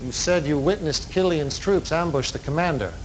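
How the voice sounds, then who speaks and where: An actor playing a charismatic, menacing male cult leader in a game cutscene, speaking in a measured, commanding way. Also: a little high, slight background noise, natural voice, poor audio. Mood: interrogating. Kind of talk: theatrical game cutscene acting.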